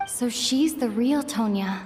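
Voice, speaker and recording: A woman voicing a composed, dryly teasing young traveler in a game cutscene, measured and wry. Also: a little high, a little mellow. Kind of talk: animated game cutscene acting.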